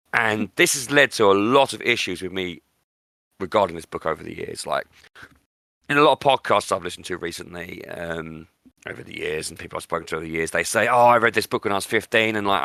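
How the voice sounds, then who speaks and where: A man's voice: low-pitched, creaky, sharp tone, no echo, clean studio sound. A man hosting a podcast, discussing books in an opinionated, emphatic way and repeating words for stress. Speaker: podcast host.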